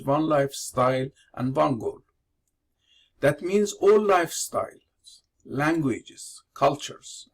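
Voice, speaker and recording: Measured Iranian-born man lecturing. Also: fairly high pitch. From Iran. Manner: measured, deliberate delivery in non-native English. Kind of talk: prepared lecture with slides.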